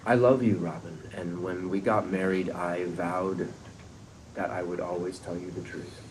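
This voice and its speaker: A man's voice: sits low, fairly even intonation, a little slow. An actor playing a brash, boastful womanizing man in his thirties, reading his lines at a sitcom table read with a cocky, breezy delivery. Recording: noisy room ambience.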